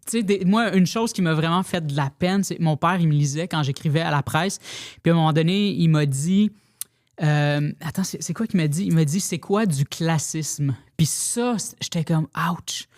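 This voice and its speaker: A woman's voice: deep voice, moderate pace, a little mellow. A woman with a Québécois accent, a podcast guest talking frankly in casual conversation, restarting sentences often. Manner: frank and blunt, lots of restarts and filler phrases.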